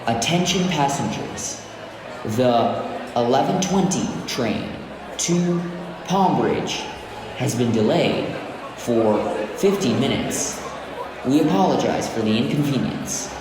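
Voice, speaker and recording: Man making slow, echoing station announcements. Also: medium pitch, animated. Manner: formal, deliberate, carefully enunciated. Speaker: English teacher voicing train station announcements. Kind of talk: scripted public address announcement. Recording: very echoey station hall with crowd noise. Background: busy station crowd ambience.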